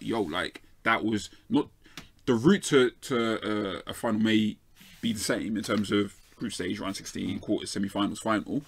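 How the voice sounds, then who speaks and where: A man with a South London accent, arguing football opinions as a fan show pundit in an animated, rapid-fire way. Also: on the low side. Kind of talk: lively football debate.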